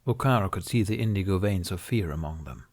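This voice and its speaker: A man's voice: sits low, animated, brisk. A man reading a scripted story as an audiobook narrator, in a dramatic narrative style.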